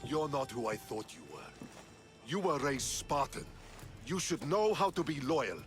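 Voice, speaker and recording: An actor playing a proud, battle-worn Spartan soldier in a video game, terse and guarded. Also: male voice, a little high, animated, a little slow, faint music behind. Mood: reproachful, urgent. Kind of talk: cinematic game acting.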